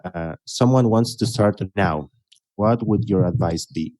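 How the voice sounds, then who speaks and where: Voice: low voice, measured pace, dark tone, dry recording. A co-host of a video podcast asking the guest for advice, phrasing questions carefully with hesitations. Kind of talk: casual interview conversation.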